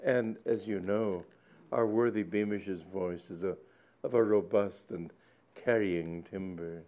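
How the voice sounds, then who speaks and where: A man doing a quiet, shy young curate. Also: low voice, slow. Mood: confiding. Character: shy young male curate, hesitant and soft-spoken.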